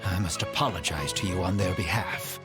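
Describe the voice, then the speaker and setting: An actor in a video game playing an earnest, proud man of the desert, speaking in formal, measured phrases. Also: deep voice, loud music behind the voice, close to an everyday voice. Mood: apologetic, earnest. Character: earnest, proud desert-dwelling man, elderly or ailing, apologetic.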